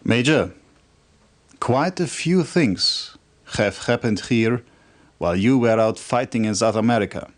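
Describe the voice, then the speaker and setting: A man's voice: mid-range voice, expressive, unhurried, natural voice. Guy playing an intense army officer giving a game briefing, formal and emphatic, voice goes up and down a lot. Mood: earnest, conspiratorial. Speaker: military officer briefing a commander. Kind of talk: dramatic video game cutscene acting.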